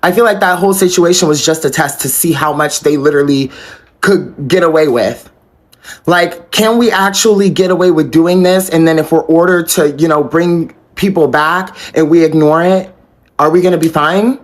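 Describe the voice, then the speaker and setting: American accent, mid-pitched voice, exasperated, incredulous, sweary, casual political rant. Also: moderate pace. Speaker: political commentator on social media. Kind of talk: casual social media rant.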